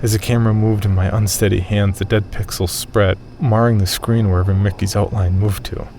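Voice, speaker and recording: A man's voice: lowish voice, level tone, a little fast, noisy background. A man reading a scripted first-person horror story as its narrator.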